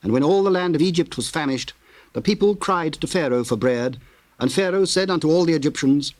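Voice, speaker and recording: A man narrating scripture in a solemn, measured, formal way with theatrical diction. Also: a little high, expressive, no room sound.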